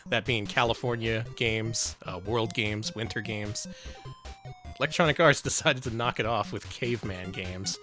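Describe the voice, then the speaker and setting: A man's voice: fairly low pitch, normal speed, over music. A man co-hosting a retro game stream, casual and sarcastic, laughing often and swearing. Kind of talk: casual gaming chat.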